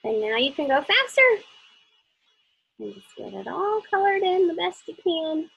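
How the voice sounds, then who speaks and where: A woman with a high, creaky voice talks young children through a craft. She keeps it casual and chatty, encouraging them as she gives simple step-by-step directions. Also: big pitch swings, moderate pace, fairly bright tone, lo-fi sound. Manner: asks the listener simple questions.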